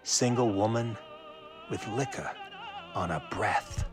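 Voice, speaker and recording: A man playing a smooth, menacing 1920s gangster boss in an audio drama, speaking in a deliberate, insinuating way. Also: fairly low pitch, music in the background. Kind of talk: period audio drama acting.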